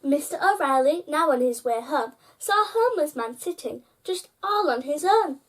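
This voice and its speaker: Female storyteller with a high-pitched voice for children's bedtime picture books, engaging young listeners directly. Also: animated, normal speed. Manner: addresses child listeners with prompting questions. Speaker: host reading children's picture books aloud.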